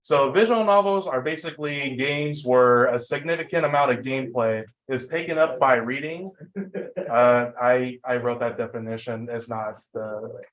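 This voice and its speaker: A man's voice: mid-range voice, normal speed, a bit bright, rough recording. Young adult male student presenter, informal and self-deprecating, tired from lack of sleep, rambling through a lecture. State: sleep-deprived.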